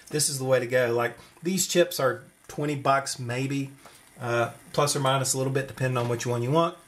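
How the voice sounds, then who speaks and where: A man's voice: medium pitch, a bit bright. A man giving an informal hands-on electronics demonstration, explaining as he goes and thinking aloud. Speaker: electronics tutorial presenter. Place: at a desk indoors.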